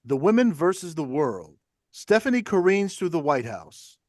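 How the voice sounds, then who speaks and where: A man's voice: on the high side, big pitch swings, moderate pace, a little mellow, no room sound, studio quality. A man reading stage directions aloud as scripted narration for a play.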